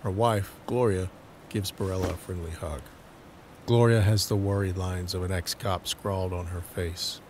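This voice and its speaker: A man's voice: deep voice, fairly even intonation, moderate pace, darkish tone, slight background noise. A man narrating a scripted audio drama, reading scene descriptions as the narrator.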